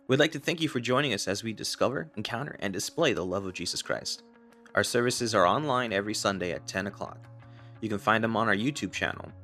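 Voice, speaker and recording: A man reads a church podcast's scripted opening in a calm, welcoming voice. His pitch is on the low side but his tone is bright and forward, and soft guitar music plays underneath. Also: fast. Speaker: podcast announcer.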